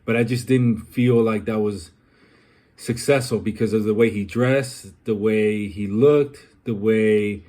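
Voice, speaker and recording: A young man from Colombia talking through a personal, reflective vlog, pausing often with ums and uhs. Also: fairly low pitch, steady tone, mellow. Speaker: vlogger.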